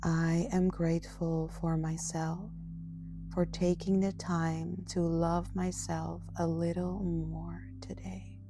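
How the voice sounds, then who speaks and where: A woman leading a guided meditation as a yoga nidra guide, speaking in a soft, gentle, soothing and often breathy manner. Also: fairly low pitch, level tone, slow pace, music in the background.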